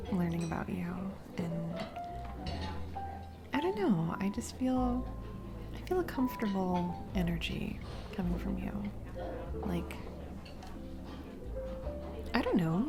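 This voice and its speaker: A woman playing a flustered single mom on a blind date in a romantic audio roleplay, speaking haltingly with nervous giggles. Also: on the low side, vocal fry, heavily acted. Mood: warm, shy. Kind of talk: romantic audio roleplay, intimate conversational acting. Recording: music playing behind the voice. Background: music, restaurant ambiance.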